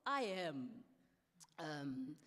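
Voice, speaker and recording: A woman speaks at a very slow pace, stuttering often and restarting her words. Her voice rings out with long reverb in a large hall. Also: deep voice, very animated, pushed and bright. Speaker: politician and anti-racist activist. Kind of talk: panel talk. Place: large hall at a public event.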